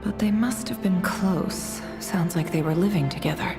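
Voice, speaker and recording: A woman's voice: on the low side, moderate pace, close to an everyday voice. A woman voicing a thoughtful young game heroine, musing inquisitively to herself. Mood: pensive, curious. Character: thoughtful young woman traveller piecing clues together. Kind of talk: dramatic game voice acting, inner monologue. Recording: long reverb, music underneath. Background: music.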